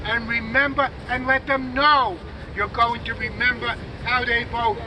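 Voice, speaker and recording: A man's voice: very high-pitched, flat delivery, measured pace. A man giving an impromptu speech at an outdoor rally, mixing sarcastic jabs with calls to remember how officials vote. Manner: sarcastic jabs, urging the crowd to remember votes. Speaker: civic group representative at a rally. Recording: outdoor rally with crowd and street noise.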